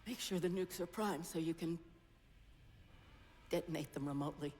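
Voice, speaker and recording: A woman's voice: deep voice, darkish tone, natural voice. A woman playing a rattled, sardonic station scientist. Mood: anxious. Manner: rattled, sardonic, talks in fast bursts. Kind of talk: video game cutscene acting. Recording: long reverb with faint background noise.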